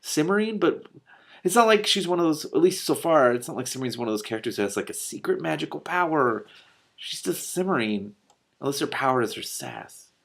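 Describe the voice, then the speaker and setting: A man hosting an expressive book read-aloud, breaking off for animated, incredulous, casual reactions. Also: fairly high pitch, brisk, creaky. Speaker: host reading a book aloud with commentary.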